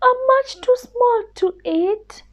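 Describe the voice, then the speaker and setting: A woman voicing a tiny, earnest female storybook mouse with a small squeaky voice, brave, polite and sincere. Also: takes it slowly, highs cut off. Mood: pleading. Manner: pleading, sincere.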